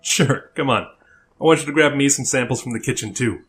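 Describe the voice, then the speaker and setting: A man's voice: medium pitch, normal speed, a bit bright, faint music behind, natural voice. A man playing an easygoing young older brother who teases, in an audio drama.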